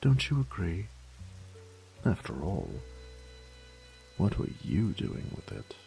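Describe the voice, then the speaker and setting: Man voicing a slow, deep, haughty vampire lord. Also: huge pitch swings, gravelly, dark tone, soft background music, full character voice, poor audio. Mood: gloating, menacing. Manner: pompous, condescending, theatrical drawl with whispered asides. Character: ancient, haughty, predatory male vampire lord. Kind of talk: scripted roleplay audio drama.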